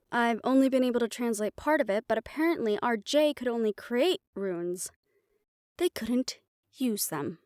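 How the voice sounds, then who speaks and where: Woman acting a higher-voiced, scheming magic researcher. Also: warm tone, dry recording, clean studio sound. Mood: explanatory, eager. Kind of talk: scripted fantasy audio roleplay acting.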